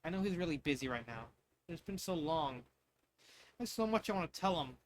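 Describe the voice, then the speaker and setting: Man reading as an earnest young princess, high-pitched. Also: lively intonation, fairly quick, slight background noise, lightly acted. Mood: sad, yearning. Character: young princess girl, earnest, adoring of her father. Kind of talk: fan fiction storybook reading.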